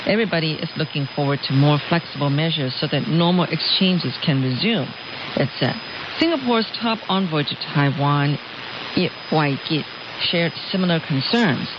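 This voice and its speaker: A woman's voice: deep voice, normal speed. A woman radio presenter reading scripted news. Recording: hissy, noisy, dull shortwave radio reception. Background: loud static hiss.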